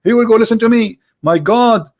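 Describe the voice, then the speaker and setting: A man's voice: very high-pitched, a little fast, darkish tone, dry recording, studio quality. A man with an Arabic accent arguing insistently in a heated debate, interrupting and repeating himself. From Middle East. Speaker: debate host.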